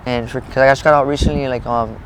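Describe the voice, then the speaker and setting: A man's voice: mid-range voice, steady tone, quick pace, a little hiss or hum, rough recording. A man in his late thirties telling his story in a street interview, rambling, swearing a lot and restarting his sentences. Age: 30s. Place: outdoors in a parking lot.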